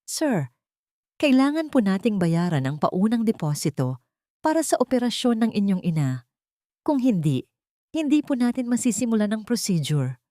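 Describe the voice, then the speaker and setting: A woman's voice: medium pitch, big pitch swings, normal speed, dark tone, no echo, studio quality, pitched above the performer's own voice, natural voice. A woman voicing an emotionless hospital nurse, formal and polite, in an audio drama story. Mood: detached, formal.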